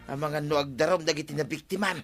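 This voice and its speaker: An actor playing a reproachful villager in an Ilocano radio comedy, needling the treasurer in an Ilocano accent. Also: male voice, fairly high pitch, fairly even intonation, fast, over loud music, natural voice. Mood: worried.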